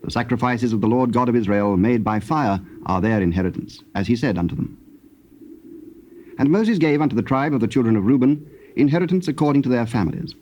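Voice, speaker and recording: A man narrating a dramatized scripture reading from a script in a measured, formal, solemn manner. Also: mid-range voice, animated, a little mellow. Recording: muffled old cassette tape, background noise.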